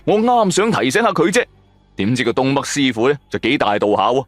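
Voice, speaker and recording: A man narrates a supernatural radio serial in colloquial Cantonese. He tells it dramatically in the first person, his mid-pitched voice rising and falling widely. The sound is dry and close, with music underneath. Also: big pitch swings, brisk, dry recording. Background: background music.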